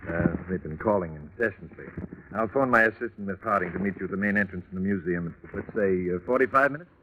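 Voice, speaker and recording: A man's voice: low-pitched, fast, narrow, tinny audio, natural voice. A man playing a harried, businesslike art dealer with a formal, hesitant manner in a 1940s radio drama. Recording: noisy band-limited vintage radio recording.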